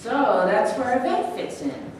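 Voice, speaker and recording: A composed female evaluator played by an actress asks measured, probing questions in a stage play reading. Her voice is a little high and lively in intonation, heard from a distance in a very reverberant room. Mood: measured, questioning. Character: composed female doctor assessing a defendant's sanity. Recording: echoey room, distant mic, faint noise.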